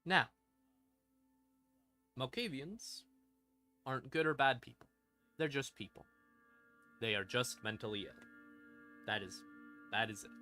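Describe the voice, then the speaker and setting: A man's voice: mid-range voice, measured pace, pauses often, pushed and bright. A man hosting a livestream, explaining game lore in a casual, informal, sweary and emphatic way. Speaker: live streamer, host. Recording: ambient music behind the voice. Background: creepy ambient music.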